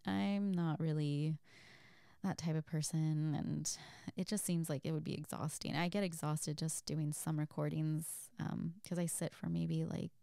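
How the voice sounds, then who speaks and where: A woman chatting casually as a host, reading out and answering listener questions in a soft, giggly, rambling and hesitant way. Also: low-pitched, fairly even intonation, measured pace, creaky, clean tone, no room sound, studio quality. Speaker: audio creator and host.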